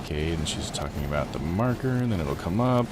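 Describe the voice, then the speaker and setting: A man's voice: low-pitched, expressive, fairly quick, noisy background. A man live streaming a visual novel, rambling and thinking aloud in casual commentary. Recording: game music behind voice. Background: video game music.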